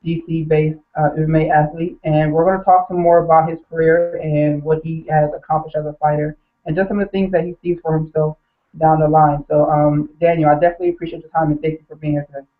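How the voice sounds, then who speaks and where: A man interviewing an athlete, polite and a little halting, with many fillers. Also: a little high, level tone. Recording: tinny phone line.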